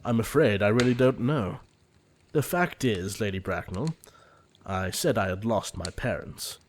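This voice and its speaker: An earnest young gentleman suitor from a period audio drama, acted by a man. His voice is fairly low. He speaks formally and politely, with a deferential manner. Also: measured pace, a little hiss or hum, lightly acted. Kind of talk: theatrical audio drama acting.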